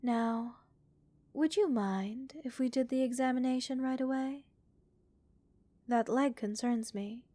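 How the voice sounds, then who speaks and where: Slow, soft-spoken alien researcher, played by a woman. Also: high-pitched, no echo. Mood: calm, reassuring. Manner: measured, reassuring, clinically curious. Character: gentle, curious female alien researcher examining a captured human. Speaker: voice actor in audio roleplay. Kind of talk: soft-spoken ASMR roleplay acting.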